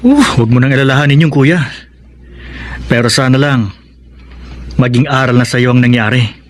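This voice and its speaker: An actor playing a forgiving, gently admonishing younger brother in a Filipino radio drama. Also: male voice, mid-range voice, normal speed, noisy background, natural voice. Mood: concerned.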